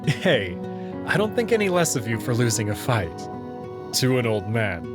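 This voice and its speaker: A man playing an anxious, wry young human man in a visual novel, with an expressive delivery. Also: medium pitch, moderate pace, lightly acted. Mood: teasing. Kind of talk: visual novel narration and character acting. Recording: music behind the voice.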